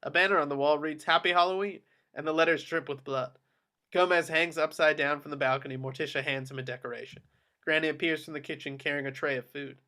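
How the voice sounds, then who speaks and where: Guy reading out screenplay directions, bright voice, a bit on the high side. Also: steady tone, fast talker. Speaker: narrator reading stage directions. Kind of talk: scripted narration for a table read.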